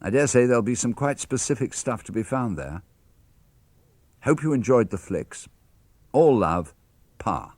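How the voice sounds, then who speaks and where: A man reading a father's letters aloud for a radio broadcast, in a measured, expressive manner with crisp phrasing. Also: medium pitch, dry recording. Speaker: reader of letters.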